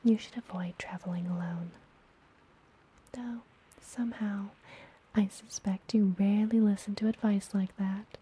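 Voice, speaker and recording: Slow whispering witch healer, acted by a woman. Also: mid-range voice, steady tone. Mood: gentle, caring. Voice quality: soft, breathy whisper. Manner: slow, soothing, intimate personal attention. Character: friendly, gentle witch healer of a forest apothecary. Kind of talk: whispered ASMR fantasy roleplay. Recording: close whisper with background noise.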